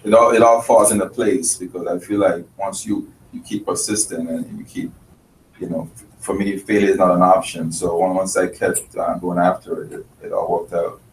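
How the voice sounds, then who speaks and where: Deep, flat-voiced man from the Caribbean. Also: normal speed. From Grenada and Trinidad. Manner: earnest, thoughtful, many restarts. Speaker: chef and restaurant owner. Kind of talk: casual interview talk. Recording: roomy, distant mic, faint noise.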